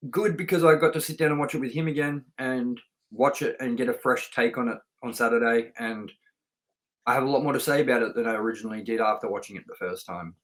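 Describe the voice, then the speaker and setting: A middle-aged man with a medium-pitched voice talks as the host of a film review show. He is chatty and informal, wandering through his thoughts and anecdotes without a script. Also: a bit bright. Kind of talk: casual unscripted review chat.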